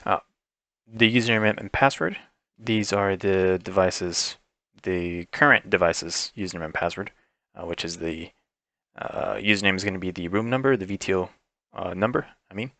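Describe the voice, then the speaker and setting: Very low-voiced man giving tech tutorial. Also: moderate pace, sharp tone, no room sound. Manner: informal, explanatory. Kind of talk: step-by-step software walkthrough, unscripted.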